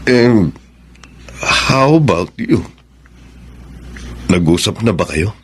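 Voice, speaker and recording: An actor playing a sincere, conciliatory young groom-to-be in a Filipino radio drama, polite and reasoning. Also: male voice, fairly low pitch, very animated, slow, slightly rough, heavily acted. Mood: calm.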